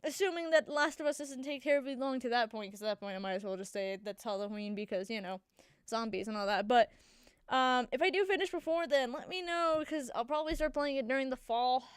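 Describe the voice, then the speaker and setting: A woman's voice: sits high, a little fast, clear, resonant tone, no room sound, studio quality. A young woman with a congested voice, chatting casually as a gaming channel host, rambling with many fillers and restarts. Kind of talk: casual chat.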